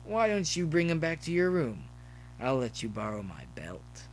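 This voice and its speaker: Grinning wasted college pony, played by a man, higher and louder, mocking and carefree, for an audio story. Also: wildly swooping pitch, normal speed, a little hiss or hum. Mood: gleeful goading. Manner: flippant, goading, raised voice. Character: grinning cocky male pony junkie student, flippant.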